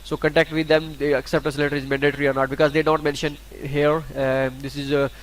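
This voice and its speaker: Halting man. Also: a little high, little pitch movement, rough recording. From Pakistan. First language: Urdu. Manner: halting, many fillers and restarts. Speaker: scholarship guide YouTuber. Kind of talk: screen-recorded tutorial walkthrough.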